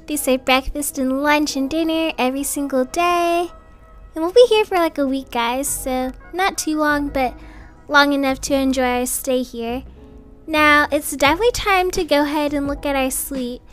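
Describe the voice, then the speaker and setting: High-voiced chatty young mom, played by a woman. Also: moderate pace, fairly bright tone, faint music behind. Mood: friendly, organised. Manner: casual, reassuring, gushing. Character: chatty, upbeat young mother of twins on holiday. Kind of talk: improvised family roleplay narration.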